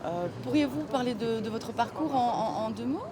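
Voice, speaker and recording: A woman asking polite, halting interview questions in a courteous, hesitant way. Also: a little high, a crowd in the background. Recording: very loud urban background noise. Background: loud ambient noise.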